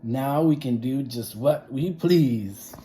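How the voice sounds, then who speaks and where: A man voicing a class of mischievous, excitable schoolkids in a children's storybook, exclaiming eagerly. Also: medium pitch, slow, slight background noise, voice pushed up, close to an everyday voice. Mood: gleeful.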